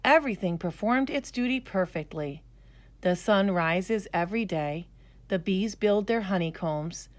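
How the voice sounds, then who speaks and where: Expressive woman reading a children's story. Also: mid-range voice, measured pace. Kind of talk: scripted children's story narration.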